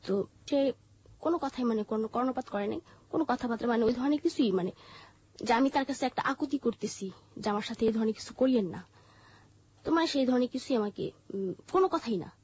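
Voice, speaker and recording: Bangladeshi female interview guest, high-pitched husky voice, halting personal narration, dull lo-fi sound. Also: animated, darkish tone, slight background noise. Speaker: interview guest telling her life story.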